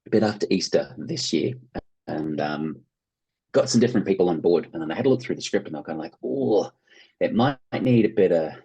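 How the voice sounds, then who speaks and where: A man's voice: low voice, lively intonation, brisk, vocal fry, dark tone, husky, poor audio. An Australian man speaking casually as an interview guest, rambling and hedging as he goes. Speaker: interview guest, playwright and actor.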